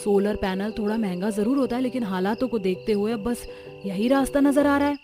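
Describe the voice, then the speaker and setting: A woman voicing earnest, plaintive village housewives and mothers-in-law in a cartoon story, with an expressive, emphatic delivery. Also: mid-range voice, fairly quick, over music, natural voice. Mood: earnest explaining.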